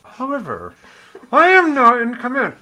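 A man's voice: very high voice, huge pitch swings, a little slow, sharp tone, heavily acted, low-quality recording. A man playing a servile but ambitious German henchman with a German accent and theatrical delivery in an old radio drama. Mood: cold command. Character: servile, eager German henchman, former lieutenant, turning ambitious. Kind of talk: 1950s radio drama acting.

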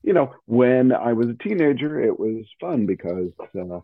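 A man chatting casually about old radio in a halting way, often repeating himself. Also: mid-range voice, big pitch swings, creaky. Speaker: radio show host. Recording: phone-like thin sound.

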